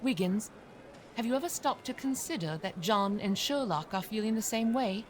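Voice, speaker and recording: An actress playing a kindly, motherly landlady in an audio drama, gentle and encouraging. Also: mid-range voice, expressive, normal speed, slight background noise, lightly acted. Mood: gentle, reassuring. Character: Mrs. Hudson, kindly, motherly, reassuring landlady.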